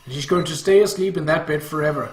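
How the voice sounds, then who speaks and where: A man's voice: fairly high pitch, fast talker, reverberant, slight background noise, close to an everyday voice. Man acting a harsh, bitter, exhausted father. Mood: angry. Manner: shouting, gruff, blunt.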